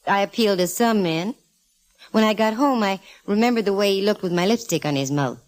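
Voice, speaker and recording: A woman's voice: medium pitch, brisk, close to an everyday voice. An actress playing a breezy, confident, flirtatious female private eye in 1940s radio detective drama style. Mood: teasing.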